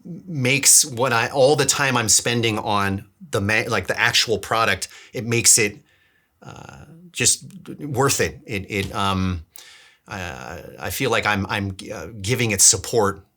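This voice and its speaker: A man's voice: on the low side, slow, bright, forceful tone. A man hosting a casual video devlog, talking informally and thinking aloud, often circling back and repeating words as he goes. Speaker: DIY filmmaker and vlog host. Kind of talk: casual devlog talk to camera.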